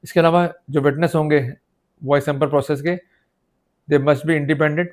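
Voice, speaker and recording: A man's voice: on the high side, fairly even intonation, normal speed. A man with an Indian accent teaching law in an explanatory, didactic lecture style. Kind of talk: explanatory legal lecture mixing Hindi and English.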